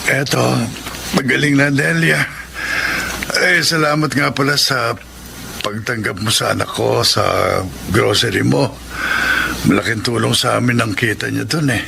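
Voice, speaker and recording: Brief: an anxious middle-aged dad, gravelly and bright-edged. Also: male voice, medium pitch, fairly even intonation, a little slow, soft background music, full character voice. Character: worried middle-aged father recovering from illness.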